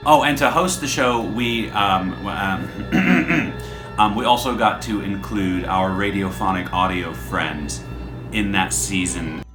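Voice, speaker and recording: A man's voice: sits low, slow, music in the background. A man hosting a podcast, explaining his show in a casual, rambling, self-deprecating way. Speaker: podcast creator and host.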